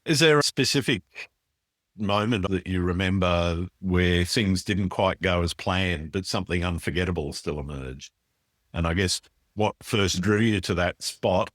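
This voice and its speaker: A man's voice: low voice, measured pace, fairly bright tone, no room sound, clean studio sound. A man hosting a podcast, asking long winding questions and giving brief affirmations. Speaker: podcast host. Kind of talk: interview questions.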